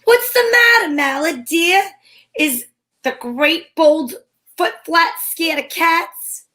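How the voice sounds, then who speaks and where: Woman playing a high-voiced, wisecracking lady private eye. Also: slow, sharp tone, full character voice. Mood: teasing. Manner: wry, slangy hardboiled delivery. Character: wisecracking female private eye, cheeky and flirtatious, narrating her case. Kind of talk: old-time detective radio drama.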